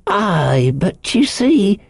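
A man's voice: high-pitched, very animated, extremely slow, vocal fry, husky, no echo, voice pushed up, heavily acted. A man voicing a marooned, half-crazed sailor for an audiobook, childishly sly and pious, wheedling and rambling in rustic seafaring dialect. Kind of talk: audiobook character voice.

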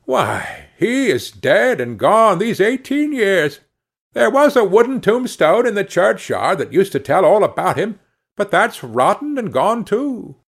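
A man's voice: sits high, big pitch swings, unhurried, vocal fry, voice pushed up, full character voice. A man voicing a very old village man in a storybook, thin and piping, wistful and rambling. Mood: surprised, doleful.

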